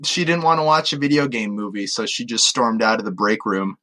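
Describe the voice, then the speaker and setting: A man co-hosting a podcast, chatting casually and jokily about films in an animated, exclamatory way. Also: lowish voice, brisk. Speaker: podcast co-host. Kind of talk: casual, jokey film review chat.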